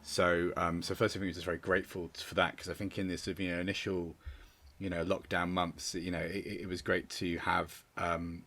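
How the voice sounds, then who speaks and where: A man's voice: sits low, little pitch movement, fairly quick, bright, forceful tone. A man giving a reflective interview answer as a theatre director, rambling and often restarting his phrases. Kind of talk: reflective interview answer over video call. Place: remote online call.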